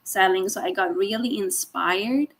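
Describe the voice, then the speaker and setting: A woman's voice: mid-range voice, a little slow, vocal fry. A woman, a guest on a video call, speaking hesitantly with many fillers and frequent laughs. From Sweden. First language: Swedish. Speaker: guest, sales director. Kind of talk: casual interview.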